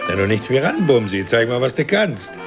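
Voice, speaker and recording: An actor in a radio drama playing a smug, cynical middle-class husband, sneering and condescending. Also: male voice, mid-range voice, big pitch swings, fairly quick, over music. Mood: amused. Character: smug, cynical bourgeois husband watching television. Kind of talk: German radio drama acting.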